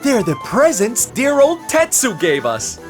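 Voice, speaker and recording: A man's voice: extremely high pitch, huge pitch swings, unhurried, full character voice. A man voicing a flamboyant, theatrical male jester performer in a game cutscene, drawling and calling everyone darlings. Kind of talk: video game cutscene voice acting. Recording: game music behind.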